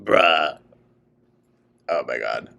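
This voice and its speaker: A man giving casual reaction commentary with mocking exasperation. His pitch moves around a lot while his pace stays slow and drawn out. The wording is slangy and incredulous. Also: animated, gravelly, noisy background. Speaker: reaction show host.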